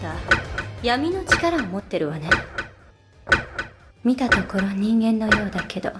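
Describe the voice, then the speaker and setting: A woman's voice: mid-range voice, big pitch swings, fast, natural voice. A woman voicing a composed, self-assured young vampire hunter in a video game, with an aloof, probing manner. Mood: cool, guarded. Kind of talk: Japanese video game voice acting. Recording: distant game audio under loud music. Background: loud game music.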